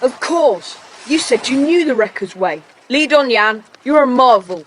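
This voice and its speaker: A woman's voice: high voice, expressive, unhurried, slight background noise. A woman playing a resolute, take-charge schoolboy in a children's audio adventure play. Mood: decisive. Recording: long hall-like reverb.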